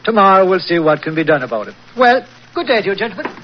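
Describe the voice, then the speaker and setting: An easygoing Irish village sergeant in an old radio drama. His voice is fairly high and swings widely in a folksy lilt. The broadcast sound is dull and muffled. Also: big pitch swings. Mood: cheerfully dismissive. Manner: lilting, unhurried, chuckling, folksy. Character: jovial, happy-go-lucky Irish village police sergeant. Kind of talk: 1940s radio acting.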